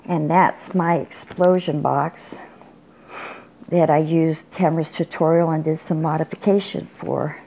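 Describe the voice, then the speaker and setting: An older woman showing off a handmade craft project in an unhurried, plain way, with small pauses and restarts. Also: deep voice, steady tone, creaky, warm tone, slight background noise, poor audio. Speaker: crafter showing her work. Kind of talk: casual show-and-tell. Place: indoors at a table, bedroom. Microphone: phone camera filming from above.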